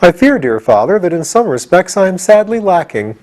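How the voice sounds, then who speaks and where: A man's voice: high voice, sing-song, brisk, darkish tone, pitched above the performer's own voice. A man voicing a timid, childlike pumpkin-headed man in an audiobook, meek, anxious and plaintive. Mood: wistful. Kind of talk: audiobook character voice.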